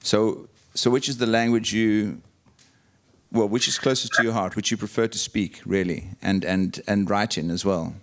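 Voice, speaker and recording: Lower-voiced male moderator. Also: fairly even intonation, moderate pace, fairly bright tone. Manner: searching questions with restarts. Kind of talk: interviewing on stage.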